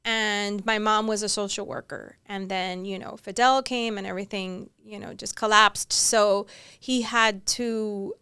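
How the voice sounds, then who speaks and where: A woman in her forties, born in Cuba and raised in Miami, talks through her past as a podcast guest. Her voice is smooth and clear, sits at an ordinary pitch, and is recorded dry and close. She tells it in a relaxed way, with laughter coming easily. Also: measured pace, no room sound. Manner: warm storytelling with frequent mm-hmms and easy laughs. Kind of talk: casual interview chat.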